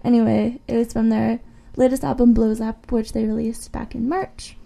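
A woman's voice: on the high side, little pitch movement, normal speed, creaky, dark tone. A woman co-hosting a radio show, chatting casually and informally about music between songs.

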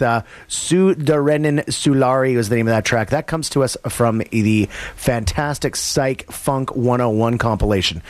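A man's voice: mid-range voice, dry recording. Laid-back male college radio DJ. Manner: laid-back, rambling, many fillers. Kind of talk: casual radio show back-announcing. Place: radio studio.

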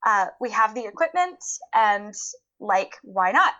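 A woman's voice: medium pitch, big pitch swings, unhurried, sharp tone. A woman answering interview questions, explaining things informally as she tells the backstory. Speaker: interview guest, audio drama producer. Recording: dull, lo-fi interview audio.

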